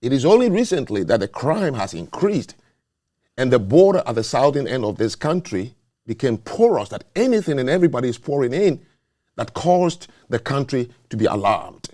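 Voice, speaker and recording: A man's voice: medium pitch, very animated, moderate pace, mellow. Guy hosting a talk show, lectures hard, voice swings up and down a lot. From not born in the US, naturalized citizen. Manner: emphatic, lecturing. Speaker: show host. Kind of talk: opinionated talk show discussion.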